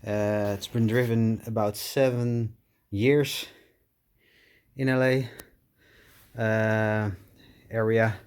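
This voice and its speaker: A middle-aged Dutch man with a Dutch accent, speaking English with many pauses and fillers as he shows his car project in a garage. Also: lowish voice, flat delivery, a little mellow, clear, resonant tone. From Netherlands. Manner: hesitant, many uhs, apologetic. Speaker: car restoration vlogger. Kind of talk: casual walkaround vlog.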